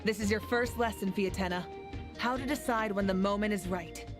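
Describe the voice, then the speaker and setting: A woman's voice: medium pitch, moderate pace, over music, voice pushed down, lightly acted. A woman voicing a solemn, authoritative female warrior mentor in a heroic comic scene within a video game. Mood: commanding, intense. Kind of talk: dramatic video game voice acting.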